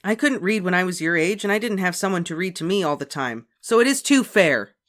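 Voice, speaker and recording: A woman's voice: lowish voice, animated, brisk, fairly bright tone, pitched above the performer's own voice. A woman voicing a responsible older sister, a girl who complains in a raised, indignant voice. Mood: arguing.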